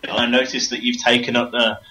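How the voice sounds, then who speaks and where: A man with a hoarse, sore-throat voice, hosting a podcast in a chatty, enthusiastic, self-deprecating way. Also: medium pitch, level tone, brisk, bright, forceful tone. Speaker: podcast host. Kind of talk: chatty solo podcast intro and interview. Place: room at home. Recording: dull, lo-fi home podcast recording.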